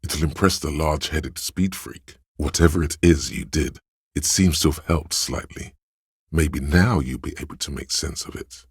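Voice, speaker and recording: A man voicing the brooding, sly inner voice of a detective's mind in a video game, narrating in a measured, theatrical way with a gravelly, husky voice. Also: a bass voice, no room sound, clean studio sound, heavily acted. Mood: wry, deliberate. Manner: measured, deliberate, theatrical delivery. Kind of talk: dramatic scripted game narration of inner thoughts.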